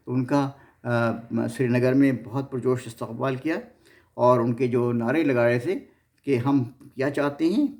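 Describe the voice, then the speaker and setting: A man's voice: mid-range voice, darkish tone. A man with a Pakistani accent hosting an informal history narration, often stumbling and repeating his words as he goes. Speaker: host of a history series.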